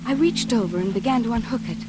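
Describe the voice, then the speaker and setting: High-voiced, frightened radio heroine played by an actress. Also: female voice, very noisy, close to an everyday voice. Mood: hushed dread. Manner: breathless, melodramatic, halting suspenseful delivery. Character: terrified woman recounting her mad sister's deadly menace. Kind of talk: 1940s radio suspense acting, dramatic first-person narration. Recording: old radio drama, music behind.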